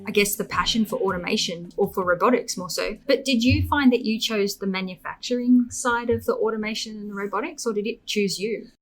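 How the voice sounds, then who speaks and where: A woman's voice: medium pitch, a little fast, few pauses, faint music behind. A woman hosting a podcast, asking questions in a conversational interview style.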